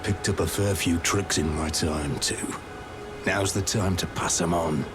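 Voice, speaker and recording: An actor playing a gruff, friendly, seasoned warrior and mentor in a video game, plain-spoken and laconic. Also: male voice, low voice, steady tone, a little slow, over music. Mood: measured, welcoming. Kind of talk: video game character dialogue.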